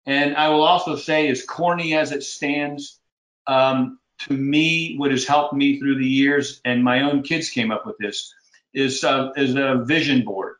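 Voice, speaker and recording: A man talks with earnest, homespun conviction, often stopping and restarting mid-thought. His voice sits at an ordinary middle pitch. The recording picks up a lot of room echo. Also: steady tone, a bit bright. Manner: earnest, folksy, halting with restarts. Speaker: commercial real estate broker, guest. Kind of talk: casual advice in podcast interview. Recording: roomy echoey sound.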